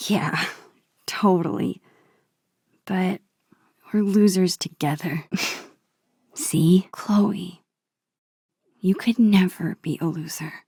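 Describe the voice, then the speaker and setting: A woman voicing a sweet, shy, nerdy teenage girl in a video game, with a soft, often breathy voice and a gentle, teasing, earnest manner. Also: lowish voice, dry recording, clean studio sound, exaggerated voice.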